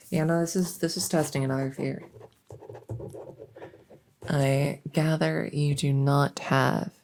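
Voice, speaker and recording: Woman acting a silly female monster under the bed, low creaky voice, hesitant rambling, bungling scarer, ASMR audio roleplay. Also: a little mellow, heavily acted. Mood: earnest, probing. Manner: rambling, stammering, earnest and chatty, trailing off. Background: writing sounds.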